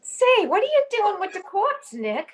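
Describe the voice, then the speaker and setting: Woman playing a brassy, high-pitched naive dame. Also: big pitch swings, unhurried, full character voice. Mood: brassy, curious. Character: brassy, naive woman mixed up in rackets, nicknamed Bingo. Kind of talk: 1940s detective radio-play acting.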